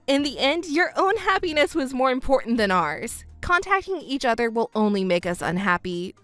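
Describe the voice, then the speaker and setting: A composed young-sounding stepdaughter played by a woman speaks with cool, pointed firmness. Her delivery is measured and reproachful, noticeably lower than a shrill register, in scripted family drama style with faint music behind. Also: big pitch swings, fairly bright tone, no echo. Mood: firm resignation.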